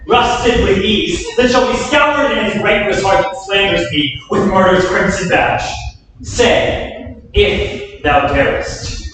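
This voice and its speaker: A haughty duke hurls his defiance, read by a man in a staged reading. His voice runs high for a man. The mic is distant, with long hall reverb and faint hiss. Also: fairly bright tone. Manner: defiant, declamatory. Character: proud, defiant male duke.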